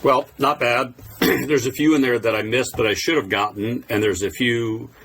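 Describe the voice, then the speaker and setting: A man's voice: medium pitch, moderate pace, vocal fry, a bit bright. A man talking casually to camera in an easygoing, self-deprecating way as he thinks aloud, like a hobby video maker. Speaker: amateur radio Morse code video maker. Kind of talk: casual vlog chat.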